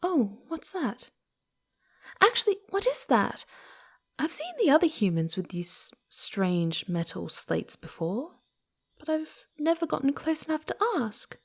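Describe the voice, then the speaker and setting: A mischievous little female kitsune in an ASMR roleplay. The voice is high and girlish, swooping widely in pitch, and moves at an unhurried pace. The delivery is cutesy, teasing and affectionate, with a giggly, excitable edge. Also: heavily acted. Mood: curious, puzzled.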